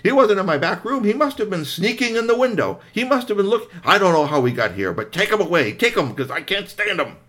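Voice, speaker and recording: Man doing a huffy toy shop witch, raised pitch. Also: very animated, brisk, hardly any pauses, pushed and bright. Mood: defensive, irritated. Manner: huffy, indignant. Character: irritable, defensive, lying woman toy shop keeper.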